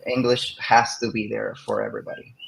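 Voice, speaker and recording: A man, speaking at a middle pitch, gives answers as a guest on an academic podcast. He works through ideas as a teacher would and keeps checking in with his listeners by asking "right?". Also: fairly even intonation, a little fast, creaky, fairly bright tone, a little hiss or hum, poor audio. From Puerto Rico. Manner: explains with rhetorical questions and frequent tag "right?". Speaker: interviewed English teacher.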